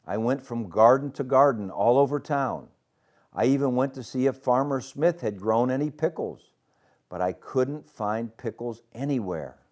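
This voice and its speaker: A man's voice: medium pitch, moderate pace, a little mellow, pitched above the performer's own voice, close to an everyday voice. A man voicing eager young friends in a children's storybook, with simple, earnest delivery. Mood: worried.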